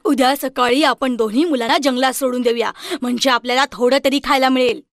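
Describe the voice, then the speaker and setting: A woman's voice: high voice, fairly even intonation, quick pace, dry recording, studio quality. A woman voicing a cold, insistent, scheming stepmother in a children's fairy tale.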